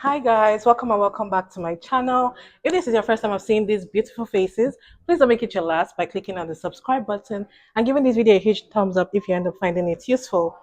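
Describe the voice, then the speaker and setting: A woman's voice: medium pitch, quick pace, hardly any pauses, darkish tone, soft background music. A woman from Nigeria hosting a casual interview, upbeat and encouraging. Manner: upbeat, frequent encouraging backchannels. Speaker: host.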